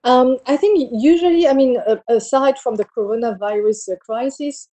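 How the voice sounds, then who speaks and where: Middle-aged Belgian executive woman, deep mellow tone, halting answers full of fillers. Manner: hesitant, many fillers and restarts. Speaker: bank CEO guest. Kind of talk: interview answers.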